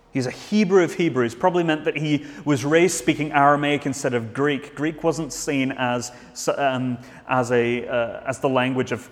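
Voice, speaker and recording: A man's voice: medium pitch, long reverb tail. A man preaching a sermon in a church, earnest, with frequent restarts and fillers.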